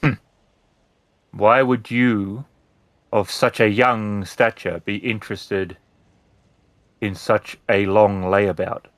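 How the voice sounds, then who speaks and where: A man's voice: lowish voice, fairly even intonation, slow, long pauses, dry recording. A man voicing a haughty, cagey sixty-year-old woman who rules a city, speaking formally in a tabletop game. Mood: dismissive.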